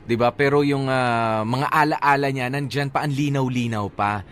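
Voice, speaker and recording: A man's voice: medium pitch, moderate pace, a bit bright, clean tone. A man with a Filipino accent hosting radio, giving casual advice in short, hesitant, reflective phrases. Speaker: radio host.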